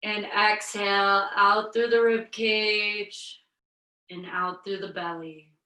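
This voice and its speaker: Slow, soothing woman yoga instructor. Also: medium pitch, flat delivery, bright, forceful tone, reverberant, distant mic, poor audio. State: slight head cold, a bit scratchy. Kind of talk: guided yoga and breathing instruction. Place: home yoga space, virtual class.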